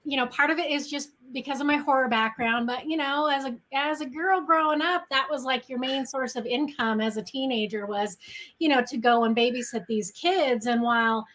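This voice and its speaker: A middle-aged woman with a clearly high, bright voice. She speaks casually and conversationally, as a writer being interviewed. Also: normal speed, rough recording. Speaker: interview guest, horror writer. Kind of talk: casual chat.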